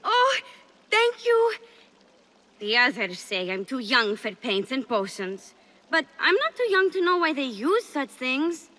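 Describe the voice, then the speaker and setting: A woman's voice: high voice, sing-song, a little slow, bright, forceful tone, heavily acted. An actress voicing a coy young palace schemer, fiercely loyal to her mistress, in a video game cutscene. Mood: coy, curious.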